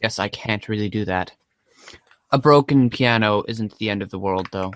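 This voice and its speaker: A man voicing a cool, dry, bossy teenage girl in an unimpressed, articulate deadpan for readers' theater. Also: on the low side, moderate pace, close to an everyday voice. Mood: matter-of-fact.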